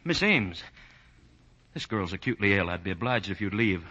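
Stern doctor: formal, animated delivery, vintage radio play, dull archive sound. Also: male voice, on the low side, normal speed, exaggerated voice. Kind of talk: 1940s radio acting. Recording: muffled, reverberant 1940 radio broadcast.

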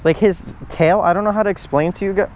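A young man with a fairly high voice talks casually through a fishing vlog. His wording is slangy and informal. The sound comes from an action camera outdoors, with clear background noise. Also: fairly even intonation, fast, a little mellow. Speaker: fishing vlogger. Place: outdoors on grassy banks beside water.

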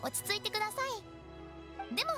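A cheeky teenage funeral director from an anime game. Her voice is very high and swoops playfully through polite, theatrical patter. Loud music plays behind her. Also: big pitch swings, quick pace. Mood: unfazed.

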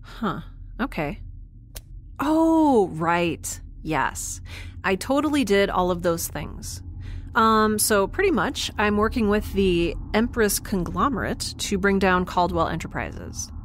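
A woman's voice: mid-range voice, animated, slow pace, slight background noise. A woman playing a sarcastic, defiant young spaceship dweller in an audio drama, with a flippant, casual delivery. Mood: bluffing.

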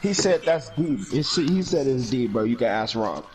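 A man's voice: mid-range voice, animated, moderate pace, a little mellow. A young man in voice chat during a basketball video game, blunt and irritated. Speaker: gamer in voice chat. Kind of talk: casual party chat.

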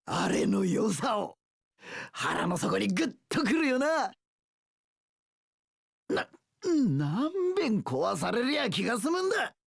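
A rough young pirate warlord for a video game. His voice is gravelly and swoops dramatically between low and high. He takes his time over each phrase like a brash gang boss rallying his crew, recorded dry and close. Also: very animated, no room sound, heavily acted. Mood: brash, animated. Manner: rough delinquent-boss speech, drawn-out battle cries. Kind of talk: video game character voice lines.

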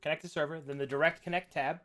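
A man's voice: on the high side, fairly even intonation, fast. A man with a somewhat raised voice leads a tabletop session in easy, casual talk. He guides the players step by step with patience, over a voice chat. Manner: laid-back, talks players through software setup. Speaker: dungeon master. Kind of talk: casual chat setting up a game.

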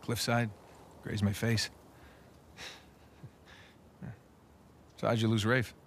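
An actor playing a wry, weary, middle-aged American adventurer in a video game, talking casually and sometimes hesitantly. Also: male voice, fairly low pitch, little pitch movement, moderate pace, a little hiss or hum, natural voice. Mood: matter-of-fact. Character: wry, weary adventurer man, affectionate and easygoing. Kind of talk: cinematic video game acting, naturalistic.

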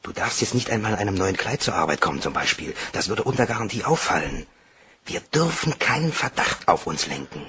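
A man's voice: low-pitched, sing-song, a little fast, bright, forceful tone, a little hiss or hum, exaggerated voice. An actor playing a self-assured, calculating embezzler persuading his lover in a crime radio play. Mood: cool, reassuring. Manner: confident, persuasive.

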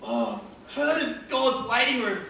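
An actor playing a cantankerous, foul-mouthed old care-home resident in a stage play, with a blunt, mocking manner. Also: male voice, extremely high pitch, wildly swooping pitch, unhurried, creaky, bright, forceful tone, heavily acted. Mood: gruff, resigned. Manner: sardonic, blunt, needling. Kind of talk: amateur stage play acting. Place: theatre stage, indoors. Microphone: distant camera microphone. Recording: echoey theatre stage from far off, faint noise.

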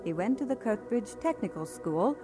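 A woman's voice: medium pitch, expressive, moderate pace. A woman narrating a radio drama in a scripted biographical style. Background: music.

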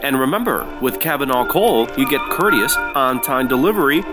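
A man's voice: mid-range voice, expressive, normal speed, over music, natural voice. A man playing an upbeat, folksy 1940s radio announcer with emphatic, wholesome salesmanship. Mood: peppy, selling.

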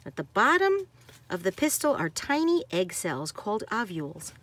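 Woman, mid-pitched, slightly husky, expressive up-and-down delivery, teacher-style picture book read-aloud, emphasis on key words. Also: normal speed.

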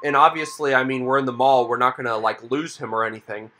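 A man's voice: mid-range voice, fairly even intonation, fast, pushed and bright, a little hiss or hum. A man hosting a casual vlog, talking to viewers in an upbeat, chatty way as he strolls through a shopping mall. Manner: upbeat, chatty, addresses viewers as you guys. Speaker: vlog host.

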